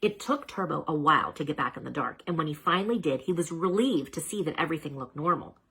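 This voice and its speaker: A woman reading a children's storybook aloud as a storyteller, dramatic and expressive, with chatty asides to the listeners. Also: low-pitched, quick pace, slightly rough.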